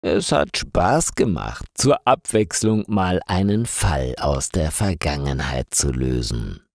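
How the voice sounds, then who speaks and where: Deep, slow-reading male storyteller. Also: gravelly, no echo, studio quality. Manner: slow, carefully articulated reading with lively intonation. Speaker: storyteller for language learners. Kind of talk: scripted story narration.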